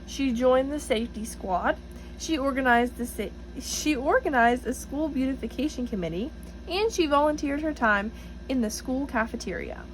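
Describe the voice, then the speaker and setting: A woman reading a picture book aloud to children in an encouraging, teacherly way, pausing to address them directly with questions. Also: sits high, expressive, a little hiss or hum, rough recording. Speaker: storybook reader for children, student teacher.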